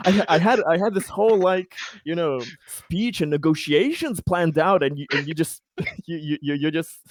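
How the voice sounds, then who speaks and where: A man chatting casually after a tabletop game, excitable, stammering and swearing freely. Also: a little high, normal speed, no room sound. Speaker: tabletop roleplaying game player. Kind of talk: casual post-game chat.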